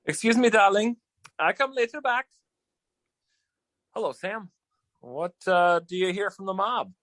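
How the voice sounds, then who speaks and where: A man's voice: high voice, lively intonation, a little slow, a bit bright, dry recording. An actor playing a florid, flirtatious man with a German accent in a 1940s-style radio comedy. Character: German-accented male friend in a rabbit costume, florid flirt.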